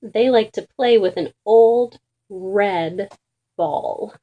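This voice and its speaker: A woman reading a children's storybook aloud in an expressive, deliberate and emphatic way for young listeners. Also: mid-range voice, narrow, tinny audio. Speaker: children's book reader.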